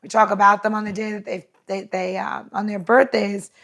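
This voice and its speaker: A woman's voice: mid-range voice, level tone, a little fast, gravelly, a bit bright. A woman in casual podcast chat, rambling with lots of filler words.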